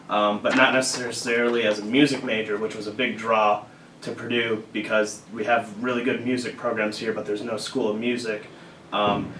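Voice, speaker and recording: A young man in his early twenties from Illinois, answering interview questions in a measured, steady way. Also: medium pitch, roomy sound, recorded from a distance, a little hiss or hum, poor audio. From Illinois, USA. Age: early 20s. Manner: measured, steady, some fillers. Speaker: student guest.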